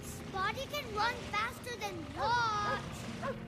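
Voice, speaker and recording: A performer voicing an excitable, quarrelsome young boy in an animated cartoon. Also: extremely high pitch, slow, heavy background noise.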